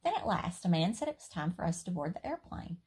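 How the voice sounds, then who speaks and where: Woman storyteller, slightly low and husky, playful and expressive, reading a children's chapter book aloud. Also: fast.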